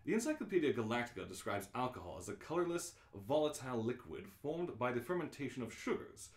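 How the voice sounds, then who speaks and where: Echoey man as animated guidebook narrator. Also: mid-range voice, fast, lightly acted. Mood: dry, informative. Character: reference-book narrator of a galactic guide, dry and informative. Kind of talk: scripted narration, amateur live radio play reading. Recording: roomy, echoing live reading.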